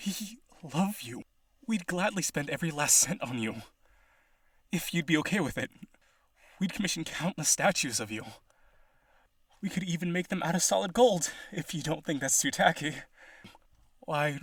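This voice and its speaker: A man's voice: fairly high pitch, slightly rough, no room sound, studio quality. A man playing a rich, devoted vampire aristocrat in a roleplay audio, earnest and pleading, with gushing, hesitant speech.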